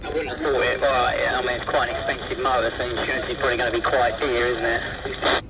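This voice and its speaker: A man's voice: mid-range voice, flat delivery, fast talker. A man chatting casually over a CB radio, heard through its speaker. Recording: distant, noisy CB radio picked up by phone.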